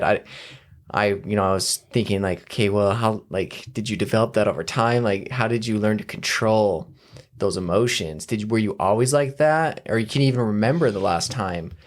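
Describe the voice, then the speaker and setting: A man co-hosting a podcast, asking an earnest, admiring question in a halting way. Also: on the low side. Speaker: podcast co-host. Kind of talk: casual conversational interview.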